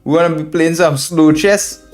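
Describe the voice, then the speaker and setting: A man's voice: fairly high pitch, moderate pace. A man with a Trinidadian accent giving live game commentary as an instructor, thinking aloud, repeating phrases and addressing viewers as friends. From Trinidad and Tobago. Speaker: chess streamer and instructor. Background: background music.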